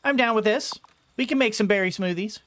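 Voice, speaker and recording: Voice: mid-range voice, a little fast, fairly bright tone, a little hiss or hum. A game streamer giving casual commentary, rambling and thinking aloud while playing.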